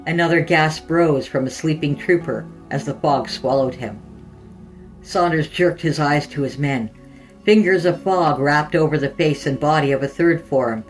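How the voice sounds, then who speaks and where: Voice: medium pitch, moderate pace, soft background music, rough recording. A narrator and podcast host reading scripted fiction aloud in a storytelling style.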